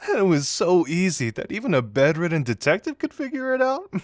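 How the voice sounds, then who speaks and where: Casting: male actor as teasing young detective confidant for audio roleplay, animated intonation, amused conversational delivery, dry close sound. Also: sits high, a little fast, no room sound. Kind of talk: intimate scripted audio roleplay addressed to the listener.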